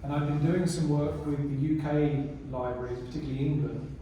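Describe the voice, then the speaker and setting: A man giving a conference presentation on a library strategy in a relaxed, conversational way with many restarts. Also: a little high, a little fast, highs cut off. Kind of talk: conference presentation with audience questions. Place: conference room. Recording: echoey room, mic far off, faint noise.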